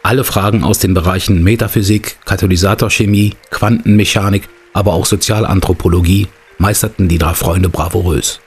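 A man's voice: low voice, steady tone, fairly quick, slightly rough, full character voice. A man playing the composed storyteller of an adventure audio drama, reading scripted narration.

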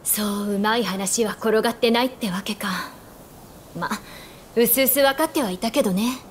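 A woman's voice: a little high, moderate pace, a little hiss or hum, natural voice. A woman playing a spirited, frank young woman, a doctor's daughter, in a Japanese video game, casual and teasing. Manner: casual, teasing, self-assured.

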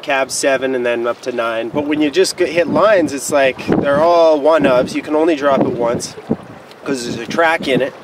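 A young man rambling to the camera from a small boat on a winter lake, in an offhand, deadpan, joking way. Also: medium pitch. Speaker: snowboarder. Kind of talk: casual rambling vlog to camera. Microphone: wide-angle camera mounted on the boat. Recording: distant mic with loud wind and boat noise.

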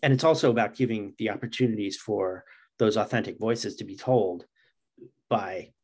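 Earnest, halting middle-aged man. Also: fairly low pitch, darkish tone, slightly rough. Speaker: interview guest, comic writer. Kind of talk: earnest conversational interview talk. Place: indoors, video call.

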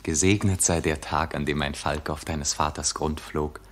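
An actor playing an ardent, carefree young prince in love, tender and poetic, in a 1960s radio drama. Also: male voice, very deep voice, fairly even intonation, normal speed. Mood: adoring.

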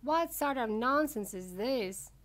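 A woman voicing an eccentric, excitable male candy inventor, proud and emphatic as a showman, in a storybook reading. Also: on the high side, slow pace. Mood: grandly boastful.

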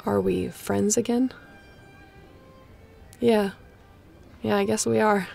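A woman acts out a snarky space captain with a very creaky, mid-pitched voice. She is guarded yet affectionate and speaks casually and teasingly, as in an intimate sci-fi audio drama. Faint music plays underneath. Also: steady tone, takes it slowly, a little mellow, full character voice. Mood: teasing, warm. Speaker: voice actor in a sci-fi audio roleplay. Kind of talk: intimate audio roleplay acting.

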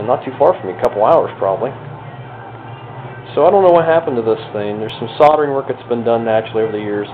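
Folksy American man, tinny narrow-band sound. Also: mid-range voice. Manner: folksy, self-deprecating asides. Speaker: hobbyist radio restorer presenting own video. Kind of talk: casual unscripted hands-on walkthrough. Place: home kitchen or dining room, indoors. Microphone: handheld camera's built-in microphone. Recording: tinny band-limited phone-like camera sound.

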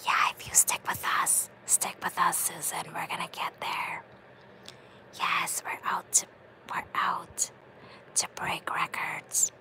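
A woman whispering softly and slowly to her live chat, with an affectionate tone. Her hushed voice sits a little low. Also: flat delivery, gravelly, poor audio. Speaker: livestream host. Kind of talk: ASMR livestream, reading chat comments.

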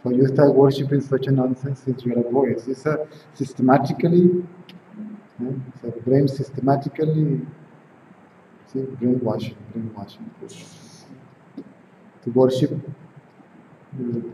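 A man's voice: mid-range voice, a little slow, long pauses. A man in his forties from Venezuela, with a Venezuelan Spanish accent, giving an informal spiritual talk at home, often asking "right?" and "see?". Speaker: spiritual teacher. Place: home room with tiled walls, indoors. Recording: roomy, dull camera sound, faint hiss.

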